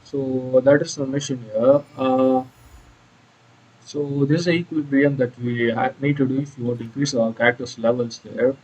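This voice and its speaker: A man's voice: mid-range voice, fairly even intonation, a little mellow, husky, poor audio. A man giving casual, hesitant commentary on a video game walkthrough. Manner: halting, hesitant delivery. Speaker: gameplay YouTuber.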